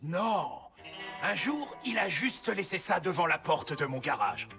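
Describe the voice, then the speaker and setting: A man's voice: sits high, very animated, a little slow, vocal fry, sharp tone, heavily acted. An actor playing a nostalgic old male motorbike who tells stories in a dramatic, confiding way, in a French cartoon dub. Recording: music bed under the voice. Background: music.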